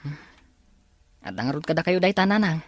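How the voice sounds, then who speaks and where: A man's voice: fairly high pitch, animated, fairly quick, dry recording. A man with a Filipino accent acting an earnest, respectful young man in an audio drama. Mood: respectful, concerned. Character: earnest young man searching for his twin, respectful son.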